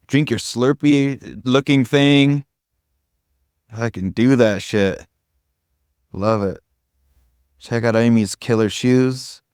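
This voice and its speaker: A man's voice: fairly low pitch, a little mellow, no echo, studio quality. A man giving an informal spiritual talk to a group as a meditation teacher, rambling and irreverent, with casual, self-deprecating humor. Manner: irreverent, casual, frequent swearing.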